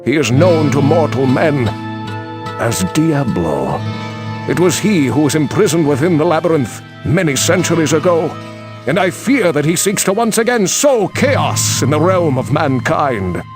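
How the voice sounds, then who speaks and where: An actor playing a grave elderly sage in a fantasy game, speaking in a theatrical, portentous and urgent way. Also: male voice, fairly high pitch, big pitch swings, unhurried. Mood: grave warning. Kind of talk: dramatic fantasy game voice acting. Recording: music under the voice. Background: loud guitar music.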